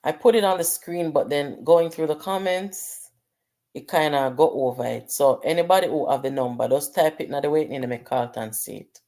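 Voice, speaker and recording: A woman's voice: low-pitched, normal speed, mellow. A middle-aged Jamaican woman hosting a casual livestream. She chats with viewers, reads out their comments and slips between patois and English. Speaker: livestream host.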